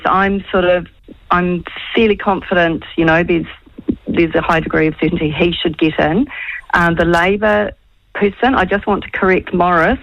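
A woman, calling in to a talkback show as a political candidate, her voice a little croaky, chatty, with many restarts. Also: on the low side, a bit bright, no room sound. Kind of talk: talkback phone call. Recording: dull, dry phone line.

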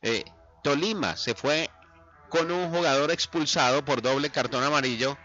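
A man's voice: medium pitch, moderate pace, sharp tone. A man hosting a sports radio segment, joking and quizzing his co-host. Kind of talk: lively sports radio banter. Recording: muffled lo-fi radio broadcast with faint music.

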